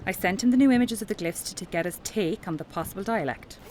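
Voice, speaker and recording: A woman's voice: medium pitch, fast talker, a little mellow, slight background noise, lightly acted. A woman playing a brusque, defensive archaeology professor in an audio drama, speaking formally and dismissively. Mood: brisk, businesslike. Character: renowned female archaeology professor, brusque, defensive, obsessed with her find.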